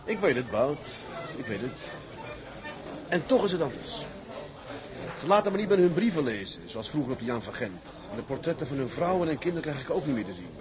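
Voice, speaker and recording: A man's voice: medium pitch, natural voice. A man playing a stern, lonely, principled tugboat captain in a radio drama, speaking earnestly and tersely. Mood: brooding. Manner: earnest, terse, matter-of-fact. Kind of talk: Dutch radio drama acting.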